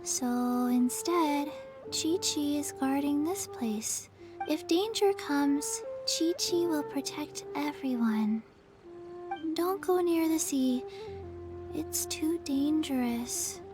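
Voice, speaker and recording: A woman's voice: high voice, expressive, slow. A woman voicing a small undead girl who talks in short, clipped phrases and calls herself by name. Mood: flat, protective. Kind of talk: game cutscene voice acting. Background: game music.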